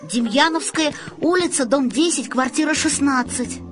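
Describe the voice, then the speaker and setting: A woman's voice: sits high, animated, moderate pace, voice pushed up. A woman voicing a timid, flustered young boy who answers meekly and apologetically in a storybook reading. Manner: meek, babbling apologetically.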